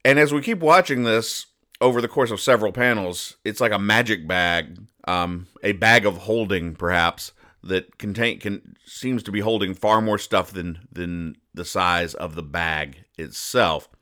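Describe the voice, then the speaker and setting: A man's voice: sits low, a little slow, bright, forceful tone. A man hosting a podcast, explaining comic strips in a conversational, mock-serious, pedantic way with frequent digressions. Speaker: podcast host.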